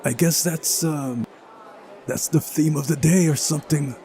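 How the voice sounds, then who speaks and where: Slow-talking mellow man, gaming commentary. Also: a little high. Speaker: let's play host. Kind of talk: visual novel playthrough, reading dialogue aloud with casual commentary.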